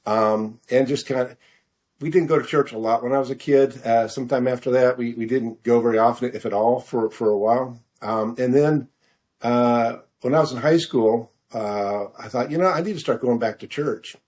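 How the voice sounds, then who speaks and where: A middle-aged American man answering questions in a casual interview, halting and self-deprecating as he searches for his words. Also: mid-range voice, a little mellow. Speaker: author interview guest.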